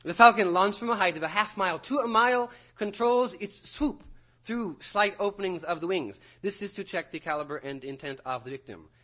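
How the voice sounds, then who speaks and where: An intense young student in an audio drama, delivering a lecture with formal precision and an undercurrent of nerves. His voice sits fairly high for a man and has a bright, tense edge. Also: brisk. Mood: intense, precise. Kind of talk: theatrical audio drama acting.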